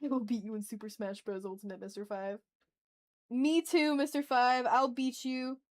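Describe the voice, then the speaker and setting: A woman chatting casually as she hosts an art livestream, talking to her viewers in an animated, slangy, teasing way with some swearing. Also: fairly high pitch, normal speed. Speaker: livestream host and artist. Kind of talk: casual art livestream chat, reading and answering chat messages.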